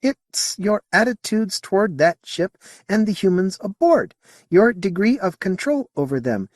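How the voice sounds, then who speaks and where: A man putting on a high, precise female alien. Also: lively intonation, no room sound, studio quality. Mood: calm, probing. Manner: slow careful enunciation, formal phrasing. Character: precise female alien guide, curious, studying humans.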